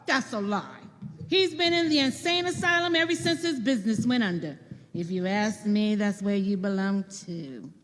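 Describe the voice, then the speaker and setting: A woman's voice: mid-range voice, normal speed, close to an everyday voice. A woman in a live radio play acting a cold, suspicious, dismissive boarding-house mother. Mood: cold, curt. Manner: curt, dismissive. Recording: live hall, long reverb.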